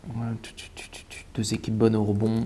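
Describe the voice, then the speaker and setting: A man's voice: lowish voice, completely flat delivery, fairly quick, mellow, a little hiss or hum. A man with a cold, musing aloud over basketball stats, muttering and repeating words while he eats. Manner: eating while talking. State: has a cold. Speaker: sports betting analyst. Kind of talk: casual thinking-aloud match analysis.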